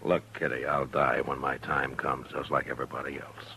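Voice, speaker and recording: An actor playing a steady, stern American frontier marshal in a radio western, speaking in a measured, firm, understated way. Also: male voice, very deep voice, vocal fry, exaggerated voice. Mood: easygoing, wry. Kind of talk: 1950s radio western acting. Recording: lightly noisy old radio recording.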